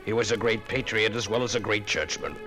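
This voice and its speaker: An actor playing an elderly former American president giving a solemn, formal tribute in 1950s radio documentary style. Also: male voice, on the low side, steady tone, fairly quick, bright, forceful tone. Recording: 1950s radio recording, music underneath.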